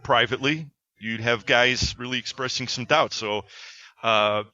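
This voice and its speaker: A man's voice: medium pitch, a little slow, sharp tone. A man hosting a podcast, chatting casually and plugging his company in an upbeat way. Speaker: podcast host. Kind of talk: casual chat with plugs. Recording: dull lo-fi sound.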